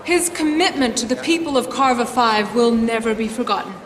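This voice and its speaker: An actress playing a composed female head of state in a game, giving a formal, measured speech. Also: a little high, lightly acted. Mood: stately, guarded. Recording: echoey hall with crowd behind.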